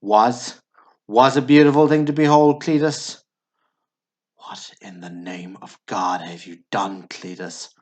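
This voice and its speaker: A man's voice: fairly low pitch, slow pace, darkish tone. An actor playing a terse, weary, tough small-town man in a radio drama, dry and restrained. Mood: grim, menacing. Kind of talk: stage-play acting in radio drama. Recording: dull lo-fi recording.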